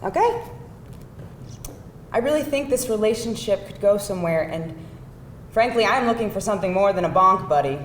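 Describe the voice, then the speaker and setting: A woman's voice: lively intonation, normal speed, pauses often, close to an everyday voice. A woman playing an earnest, exasperated girlfriend of about thirty at a live screenplay table read, speaking firmly and expressively. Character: earnest, exasperated girlfriend around thirty, wanting real commitment. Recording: roomy live hall, faint rumbling noise.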